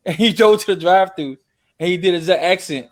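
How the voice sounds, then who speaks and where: A man hosting a casual conversation, telling anecdotes in an animated way and acting out quotes. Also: high voice, normal speed. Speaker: podcast host. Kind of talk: casual chat.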